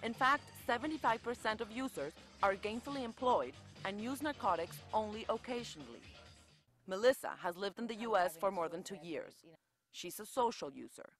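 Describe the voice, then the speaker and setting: A woman correspondent narrating a scripted television news report in a measured, expressive way. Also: mid-range voice, faint music behind.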